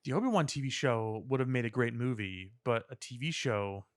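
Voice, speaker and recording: A man's voice: medium pitch, lively intonation, fairly quick, no echo. Male podcast co-host, dry close sound. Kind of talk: casual pop culture banter.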